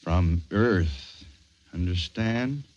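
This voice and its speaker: A man's voice: sits low, very slow, a little mellow, highs cut off. An actor playing a genial, effusive small-town shopkeeper and father who humors his visitors in an old radio drama. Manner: folksy, effusive. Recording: noisy old radio recording.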